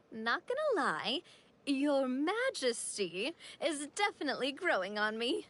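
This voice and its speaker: A woman's voice: high-pitched, big pitch swings, slow, crowd noise, exaggerated voice. A woman playing a confident, gracious young boss who acts as king in a video game, poised and upbeat. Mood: playful. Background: game music.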